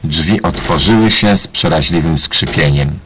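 A man's voice: a bass voice, a little slow, a bit bright, slight background noise. A man narrating a radio play parody in a mock-solemn, ironic way. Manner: mock-solemn, tongue-in-cheek.

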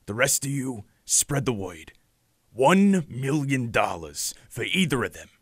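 An actor playing a ruthless, short-tempered older mob boss in a noir radio drama, gravelly, raspy and commanding. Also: male voice, mid-range voice, big pitch swings, unhurried, dry recording, clean studio sound. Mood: cold menace. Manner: commanding, menacing.

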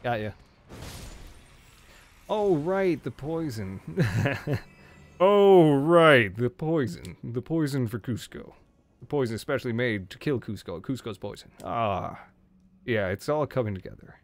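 A man with a hoarse voice, casually commenting on his gameplay and joking at the enemies on screen. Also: medium pitch, animated, unhurried, long pauses. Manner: jokey, talks to enemies on screen. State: hoarse from screaming. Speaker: game streamer.

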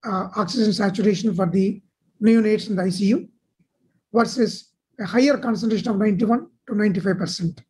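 A woman delivering a measured medical conference keynote over slides on a video call. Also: mid-range voice, darkish tone, husky, poor audio. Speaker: keynote speaker.

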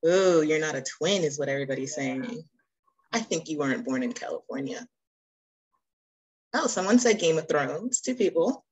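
Woman, lower-pitched, husky voice, playful host, casual video-call chat. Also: lively intonation, moderate pace, pauses often, low-quality recording. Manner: playful, reacts aloud, urges people on. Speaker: host of a virtual icebreaker session.

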